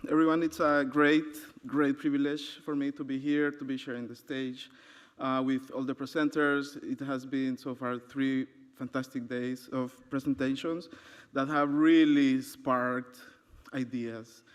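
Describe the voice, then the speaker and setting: A man from Honduras giving an academic conference talk, pausing often with "uh" as he goes. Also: mid-range voice, level tone, clean tone. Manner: hesitant, frequent "uh" fillers. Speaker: conference presenter. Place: conference hall. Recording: long hall reverb.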